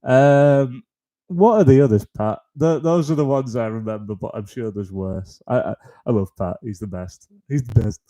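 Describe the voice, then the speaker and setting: A man hosting a live comedy stream, rambling in a flat deadpan tone, with lots of ums, restarts and swearing. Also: mid-range voice, normal speed. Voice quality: deadpan, flat-toned delivery. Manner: self-deprecating, frequent ums and restarts, sweary. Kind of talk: rambling stand-up style chat on a live stream.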